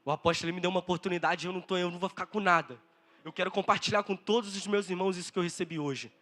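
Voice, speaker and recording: High-pitched young Brazilian man preaching earnestly. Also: steady tone, very fast, bright, forceful tone. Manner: earnest, emphatic, with repeated phrases and rhetorical questions. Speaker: preacher at a church conference. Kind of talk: impassioned, testimonial sermon.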